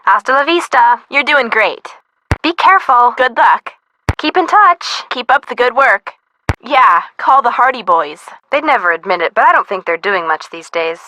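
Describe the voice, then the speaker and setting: A peppy young female sidekick in a mystery game. Her high, bright voice swings expressively through sassy, teasing banter. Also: animated, moderate pace, a little hiss or hum, poor audio. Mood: cheery, teasing. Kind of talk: scripted mystery game voice acting.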